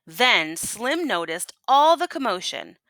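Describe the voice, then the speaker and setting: A woman reading a picture book aloud as a teacher would, in an expressive, folksy storytelling manner. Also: on the high side, slow pace, no room sound, rough recording. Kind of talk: animated picture-book read-aloud to listeners.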